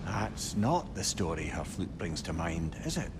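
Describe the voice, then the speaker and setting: A man's voice: deep voice, measured pace, heavy background noise. A man voicing a chatty, sympathetic and inquisitive companion in a video game. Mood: concerned, gentle. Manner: lively, probing, gentle. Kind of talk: dramatic video game voice acting.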